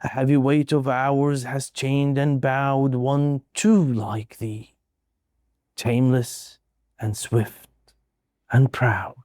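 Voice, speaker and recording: Male poetry reader, medium pitch, solemn and measured delivery with deliberate pauses, warm relaxed tone, dry recording. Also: dry recording. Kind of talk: slow dramatic poetry recitation.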